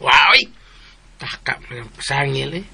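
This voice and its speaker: An actor with a southern Philippine accent playing a chatty, meddling household helper who stammers and repeats himself in a radio drama. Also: male voice, mid-range voice, expressive, a little slow, creaky, bright, forceful tone, breathy edge, full character voice. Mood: scolding.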